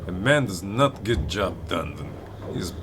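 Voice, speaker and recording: A man playing a gruff, wary foreign workman in a radio drama, speaking blunt broken English. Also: low-pitched, sing-song, a little slow, noisy background. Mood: grim, wary.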